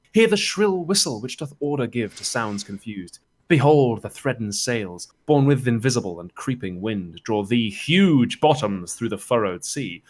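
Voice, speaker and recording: A man's voice: mid-range voice, close to an everyday voice. A man reading a theatrical, exhortatory stage chorus who narrates a war in Shakespearean verse. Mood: stirring, vivid.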